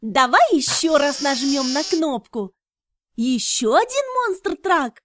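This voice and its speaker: High-pitched, animated woman narrating for kids. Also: slow, slight background noise. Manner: enthusiastic, instructive, carefully enunciated. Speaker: narrator of children's educational cartoons. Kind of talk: scripted narration for toddlers.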